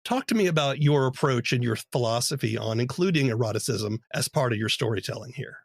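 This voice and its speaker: A man's voice: medium pitch, expressive, fairly quick, gravelly. Man hosting a thoughtful interview. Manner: thoughtful, probing questions. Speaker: podcast host.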